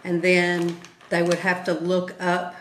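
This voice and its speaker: A woman's voice: lowish voice, completely flat delivery, slow. Matter-of-fact woman helper in an echoey room. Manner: matter-of-fact, asks practical questions. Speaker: helper and taster. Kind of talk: casual chat. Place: small indoor room. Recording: echoey room, faint background noise.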